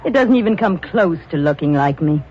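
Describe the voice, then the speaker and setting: An actress playing a scornful, impatient wife in an old radio drama, sharp and contemptuous. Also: female voice, lowish voice, lively intonation, brisk, a little hiss or hum, close to an everyday voice. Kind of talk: 1940s radio acting. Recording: dull old radio recording.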